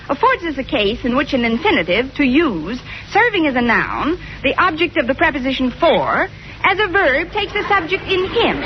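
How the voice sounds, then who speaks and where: An American actress playing a wry, quick-witted high school English teacher in a 1940s radio sitcom, dry and crisply spoken. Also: female voice. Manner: dry, sardonic, crisply articulated. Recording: muffled old radio recording, studio audience, hiss.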